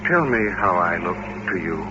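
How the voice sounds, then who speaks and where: An actor in a 1950s radio drama playing a lonely, quietly insistent male mutant hitchhiker who controls minds. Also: low voice, very animated, normal speed, lo-fi sound. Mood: guarded, probing. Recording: noisy, band-limited vintage radio recording.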